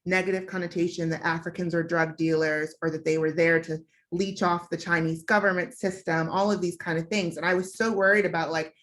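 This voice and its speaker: A woman's voice: fairly low pitch, fairly even intonation, a little fast, fairly bright tone. Candid young American woman telling a story. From United States. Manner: candid, reflective, with frequent "um" fillers. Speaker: panelist. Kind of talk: personal storytelling on a panel.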